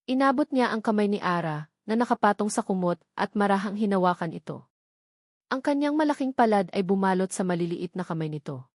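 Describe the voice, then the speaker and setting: A woman with a Filipino accent narrates a scripted dramatic story in a measured, solemn way with deliberate pauses. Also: mid-range voice, a little mellow, dry recording, studio quality. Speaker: audiobook narrator.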